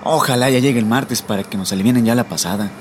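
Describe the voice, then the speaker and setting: An actor playing an anxious, fatalistic Mexican migrant in a radio drama, with colloquial, brooding speech and a Mexican accent. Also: male voice, medium pitch, fast, lightly acted. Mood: fearful, brooding. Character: anxious, fatalistic Mexican migrant man waiting to cross the border. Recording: noisy recording with vehicle-like ambience.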